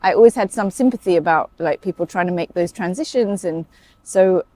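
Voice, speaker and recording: Chatty British-accented woman, lowish voice. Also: fairly quick, warm tone, no echo. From Saudi Arabia. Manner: chatty, talkative, many fillers and asides. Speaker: guest, paediatric emergency consultant. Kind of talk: casual interview chat.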